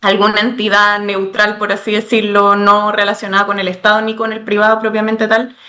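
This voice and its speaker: A woman's voice: fairly high pitch, pushed and bright. Chilean woman, workshop participant, hesitant, searching for words. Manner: hesitant, many fillers, careful wording. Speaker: workshop participant asking a question. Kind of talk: question in an online workshop.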